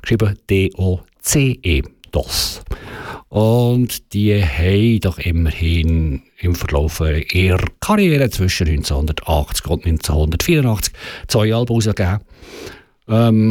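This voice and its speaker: A man's voice: low-pitched, animated, a little slow, darkish tone, no echo, clean studio sound. A man with a Swiss German accent hosting a dance music radio show, chatting loosely and correcting himself as he goes. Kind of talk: casual music radio presentation.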